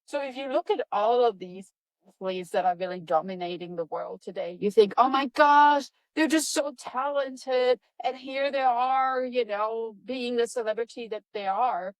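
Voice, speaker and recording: A woman with a European accent, a professor guest on a podcast, answering in an energetic, emphatic way. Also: moderate pace, no room sound, poor audio. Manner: energetic, upbeat, emphatic with lively pitch jumps. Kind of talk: enthusiastic interview answers.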